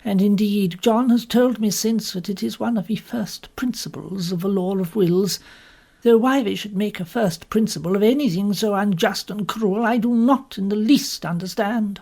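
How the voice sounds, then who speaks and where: A woman narrates an audiobook short story. Her delivery is formal and rather prim, with the measured phrasing of scripted storytelling. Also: mid-range voice, darkish tone.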